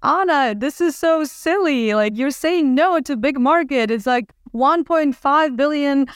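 A woman from Ukraine in her thirties with a Ukrainian accent, talking casually as a podcast guest with lively restarts and fillers. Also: high voice, unhurried, dry recording, clean studio sound. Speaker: guest entrepreneur. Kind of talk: casual interview talk.

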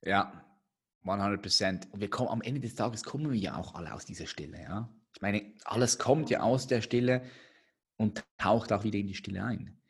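Guy with a bit of a cold, husky lowish voice, stumbles over words a lot. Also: normal speed. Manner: stammering repeats, relaxed. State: slight cold. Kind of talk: casual conversation.

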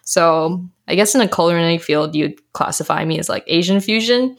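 A woman's voice: fairly low pitch, a little fast. A young woman from Canada, a guest on a podcast, chatting casually and laughing often. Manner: bubbly, laughs often, lots of "like" and "um". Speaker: podcast guest, health coach. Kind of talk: casual interview chat.

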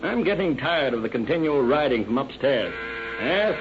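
Actor as measured sheriff on muffled old radio. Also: male voice, mid-range voice, expressive, a crowd in the background, lightly acted. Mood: official. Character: measured, authoritative male county sheriff. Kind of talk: 1930s radio police drama acting. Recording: dull, lightly noisy old radio recording.

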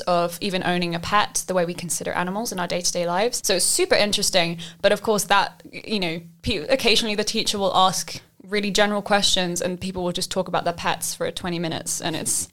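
A woman's voice: medium pitch, fairly quick, hardly any pauses, fairly bright tone. A woman co-hosting a casual group podcast, chatty and laughing often between fillers. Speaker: podcast co-host.

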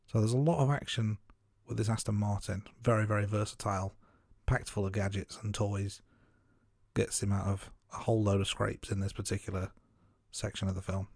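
A man co-hosting a podcast, recapping film scenes in a steady, casual way with some fillers. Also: on the low side, brisk, vocal fry, dry recording, studio quality. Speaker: podcast co-host. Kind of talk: casual film review chat.

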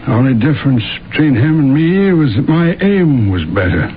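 A man's voice: mid-range voice, expressive, normal speed, phone-quality sound, lightly acted. An actor playing a hard, unrepentant gunman in an old-time radio drama, speaking in a blunt, unapologetic way. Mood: cold, defiant. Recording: thin, noisy old radio recording.

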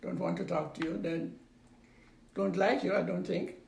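A woman in her eighties with a Jamaican accent, recalling her past in an unhurried way that repeats phrases and trails off. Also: fairly low pitch, steady tone, vocal fry, a little mellow. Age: 80s. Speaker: interviewee. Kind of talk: casual recollection. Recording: roomy recording with faint background noise.

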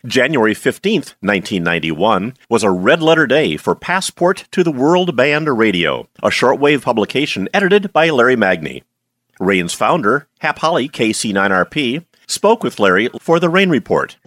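A man's voice: mid-range voice, big pitch swings, normal speed, fairly bright tone, no room sound. A man presenting a scripted introduction to a radio report as the show's presenter. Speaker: podcast presenter.

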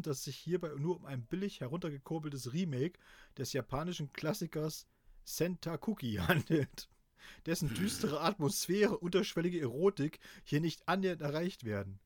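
Podcast co-host, middle-aged man from East Germany, dry deadpan reader, fairly high pitch, very dry sound. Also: no room sound. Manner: dry deadpan delivery, laughing at his own reading. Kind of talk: casual podcast chat and mock-serious reading aloud.

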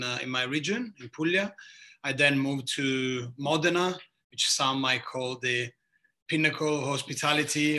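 Italian-accented man, thirties, podcast guest, very sharp tense tone, hesitant phrasing. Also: on the high side. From southeast Italy. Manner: searching for words, pausing. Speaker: guest, bartender. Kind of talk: casual podcast chat.

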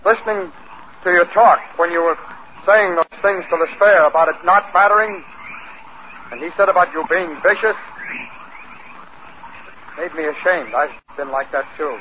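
A muffled 1950s radio recording with slight noise. A man plays a doomed spaceman, gruff yet sincere, in a somewhat high voice. He speaks plainly and haltingly. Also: natural voice. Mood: ashamed, urgent. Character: gruff dying spaceman softened by shame. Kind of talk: 1950s radio drama acting.

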